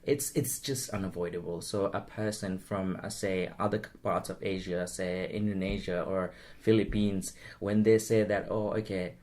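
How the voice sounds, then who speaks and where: A man's voice: low voice, little pitch movement, moderate pace, hardly any pauses, a little mellow. A young man from Bangladesh, a guest on a casual podcast interview, talking in an earnest, encouraging way. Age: young adult. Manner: earnest, encouraging, frequent agreeing "yes".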